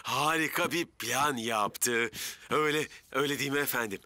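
A man's voice: medium pitch, normal speed, background noise, close to an everyday voice. A man voicing an eager, nervous junior firefighter in a children's cartoon, earnest and flustered. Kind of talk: animated children's cartoon dub. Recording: music behind the voice.

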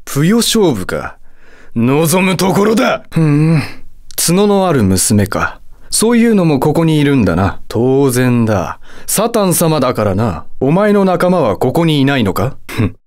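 A man's voice: fairly high pitch, big pitch swings, measured pace, a little mellow. A man voicing a haughty, hot-tempered, lovestruck demon lord in a video game, with a theatrical, condescending delivery. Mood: smug, cool. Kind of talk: Japanese video game character voice acting.

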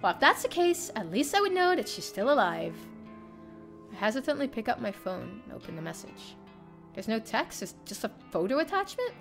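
A young woman streamer reading a visual novel aloud in the first person, expressive and theatrical. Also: on the high side, a little fast, vocal fry. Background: game music.

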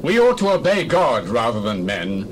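Bold, fervent, animated apostle. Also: male voice, mid-range voice, normal speed, slight background noise. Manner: declamatory, fervent. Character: bold, unyielding apostle Peter before a council. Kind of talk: dramatized Bible reading.

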